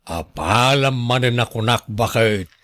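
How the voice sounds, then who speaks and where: A man's voice: medium pitch, lively intonation, a little slow, fairly bright tone, dry recording. A man playing an exasperated, grumbling village husband and humble watchman in a radio drama. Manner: grumbling, put-upon.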